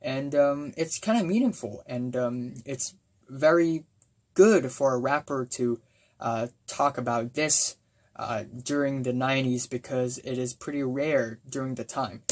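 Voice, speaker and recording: A young man with a voice gone raspy from talking, giving a casual, excitable album review full of fillers and bursts of enthusiasm. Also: mid-range voice, measured pace. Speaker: music reviewer.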